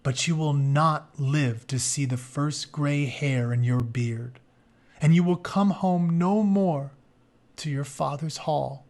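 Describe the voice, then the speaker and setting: Higher-pitched man reading a story aloud. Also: slow pace, a little mellow. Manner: informal, explanatory. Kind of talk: expressive read-aloud with casual explanatory asides.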